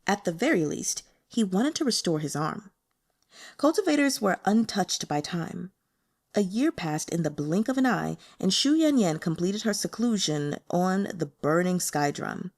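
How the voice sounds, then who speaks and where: A woman reading fiction aloud as an expressive audiobook narrator. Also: fairly low pitch, measured pace. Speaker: audiobook reader.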